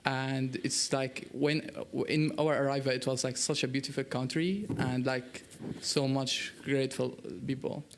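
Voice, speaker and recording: A man's voice: mid-range voice, steady tone, a little hiss or hum. A young man from Syria with a Syrian Arabic accent, speaking earnestly and searching for words as he puts a question in a dialogue. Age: young adult. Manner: halting, searching for words in non-native English. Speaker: youth delegate asking a question. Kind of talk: earnest prepared remarks in a dialogue.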